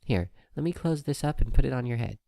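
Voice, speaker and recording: Man voicing a higher, gentle unicorn medic mare. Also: expressive, fast, vocal fry, no echo. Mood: coaxing. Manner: gentle, careful instructions to a child. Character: worried, kindly female unicorn medical officer.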